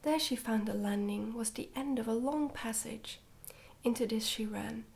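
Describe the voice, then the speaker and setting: A woman reading a children's storybook in a hushed, breathy whisper, gently and carefully, in ASMR style. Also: a little high, normal speed. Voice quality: whispery, breathy, soft-spoken. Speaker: ASMR book reader.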